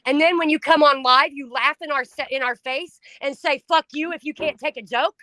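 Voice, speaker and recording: A middle-aged woman talking on a home stream, loud and blunt, swearing freely, her voice climbing when she gets upset. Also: high-pitched, steady tone, brisk, no echo. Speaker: stream co-host. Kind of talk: emotional casual chat.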